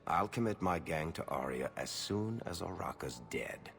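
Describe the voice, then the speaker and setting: An actor playing a smug, mocking mercenary gang leader in a video game, with a gravelly voice and sneering manner. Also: male voice, low voice, animated, moderate pace, a bit bright, heavily acted. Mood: dismissive. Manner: sneering, smooth-talking, mocking.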